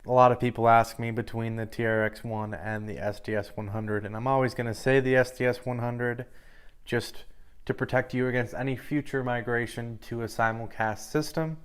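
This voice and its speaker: A man's voice: mid-range voice, fairly even intonation, normal speed, clear, resonant tone. A man giving an informal product review in a matter-of-fact, explanatory way.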